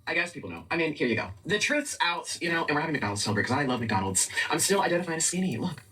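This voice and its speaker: A man chatting rapidly in a talking-head video, filling his talk with many likes. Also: fairly high pitch, big pitch swings, sharp tone, distant mic. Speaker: content creator. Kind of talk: casual talking-head chat.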